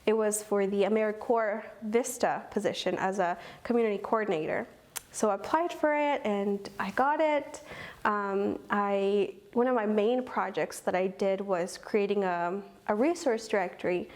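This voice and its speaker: A woman's voice: mid-range voice, moderate pace, a little mellow. A young woman in her twenties from Kentucky, a program coordinator, answers interview questions earnestly, with many ums. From Owensboro, Kentucky.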